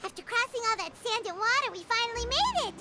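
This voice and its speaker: Tiny fairy game companion, very high squeaky voice, bouncy intonation, chirpy, wide-eyed, music behind. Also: female voice, lively intonation, quick pace. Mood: amazed. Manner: chirpy, wide-eyed, refers to self in third person. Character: small childlike floating fairy companion, chirpy and eager. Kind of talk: video game character acting.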